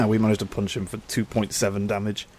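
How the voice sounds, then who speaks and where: A man's voice: on the low side, little pitch movement, brisk, a little hiss or hum. Stuffy-nosed man talking through gameplay. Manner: chatty, easygoing, thinks aloud. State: stuffy nose from a cold. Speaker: gaming channel host. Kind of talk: casual gameplay commentary.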